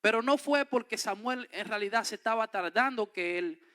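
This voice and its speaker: A man's voice: sits high, normal speed, sharp tone, smooth. A man with a Dominican accent preaching a sermon, reading scripture aloud and then explaining it with emphatic rises. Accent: Dominican Spanish. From Dominican Republic.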